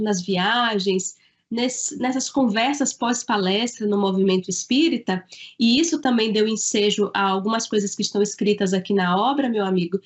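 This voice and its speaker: A woman from Salvador, an author answering interview questions in an earnest, thoughtful way with the odd halting restart. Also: a little high, lo-fi sound. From Salvador, Bahia, Brazil. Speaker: author and guest.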